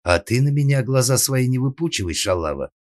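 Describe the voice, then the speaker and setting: A man's voice: low voice, expressive, moderate pace, no echo, studio quality. A man voicing a jealous middle-aged wife in a comic audiobook, shrieking, hissing and scolding. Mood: venomous. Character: shrill jealous middle-aged wife, furious mistress of the house.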